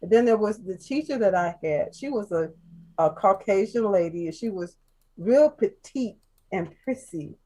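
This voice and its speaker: A woman from Chicago, a guest telling her life story in casual chat, soft-spoken and halting, with many fillers and restarts. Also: mid-range voice, animated, rough recording. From Chicago, USA.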